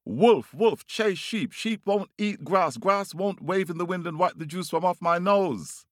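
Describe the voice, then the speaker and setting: A man voicing a vain, desperate rooster in a children's story, calling out in loud, pleading, sing-song repeated demands. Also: high voice, unhurried, no room sound, clean studio sound, voice pushed up. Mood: insistent.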